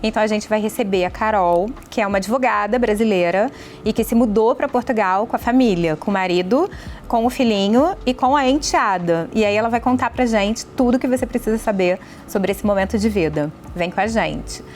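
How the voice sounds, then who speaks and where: A woman's voice: mid-range voice, fairly quick, darkish tone, a little hiss or hum. A woman with a Brazilian accent hosting a podcast and interviewing a guest in a conversational way. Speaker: podcast host.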